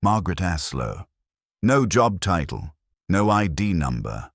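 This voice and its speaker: Really deep guy narrating a detective novel, pitch moves around a lot, dry close sound. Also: sing-song, unhurried, gravelly, dry recording. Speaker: audiobook narrator. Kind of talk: scripted first-person detective story narration.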